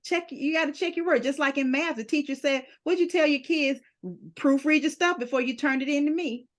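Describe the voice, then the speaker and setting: Animated high-voiced woman, publisher host. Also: brisk. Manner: animated storyteller, acts out quoted dialogue. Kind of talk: podcast interview.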